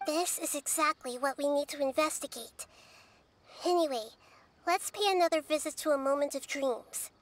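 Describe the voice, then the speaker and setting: A woman playing a gentle, wise childlike girl deity in a video game, speaking in a measured, articulate, thoughtful way. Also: high voice, close to an everyday voice. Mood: serious, cautioning.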